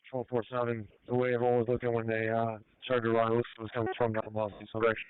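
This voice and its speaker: A man's voice: mid-range voice, completely flat delivery, fast, smooth, no echo, narrow, tinny audio. A man speaking as a police officer in radio traffic, heard through a two-way radio on a scanner feed.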